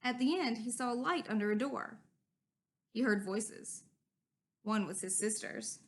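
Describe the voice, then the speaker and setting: A young woman teacher, slightly high-voiced, with a noticeably gravelly, fry-tinged tone. She talks children patiently through each step. A faint hiss runs beneath the recording. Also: measured pace. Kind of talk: read-aloud and art lesson.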